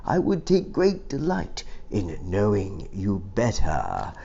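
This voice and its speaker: Man voicing a slow, plaintive, lonely old goat. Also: fairly low pitch, wildly swooping pitch, gravelly, mellow, slightly rough, highs cut off, pitched below the performer's own voice, heavily acted. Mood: sorry, wistful. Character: lonely, foolish old male goat, apologetic and wistful.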